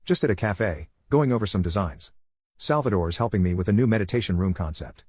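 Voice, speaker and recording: Breezy, dismissive wife for narrated drama, done by a man. Brisk, dry sound. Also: lowish voice, lively intonation, darkish tone, husky, no room sound. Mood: casual, evasive. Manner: casual, dismissive. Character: breezy, evasive middle-aged wife.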